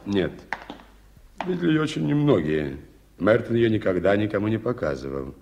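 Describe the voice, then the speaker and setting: An actor playing a polite, well-informed gentleman in a Soviet radio play, speaking in a measured, explanatory way. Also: on the low side, big pitch swings, creaky, highs cut off. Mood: earnest, explanatory. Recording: noisy 1980s radio play recording.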